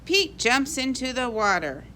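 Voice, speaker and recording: A woman reading a children's picture book aloud in an expressive, animated way for young kids. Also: high voice, measured pace, slight background noise. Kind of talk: expressive read-aloud storybook narration.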